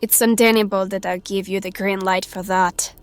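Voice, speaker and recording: An actress playing a young magic user, wary yet kind-hearted, in a measured audio drama style. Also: female voice, mid-range voice, flat delivery, smooth, close to an everyday voice.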